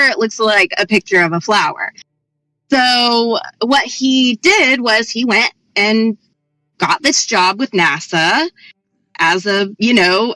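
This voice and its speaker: A woman's voice: mid-range voice, bright, forceful tone, dry recording, rough recording. A woman on a voice chat panel, explaining at length in a chatty, lecturing way. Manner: chatty, lecturing, calls listener hun. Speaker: panel member.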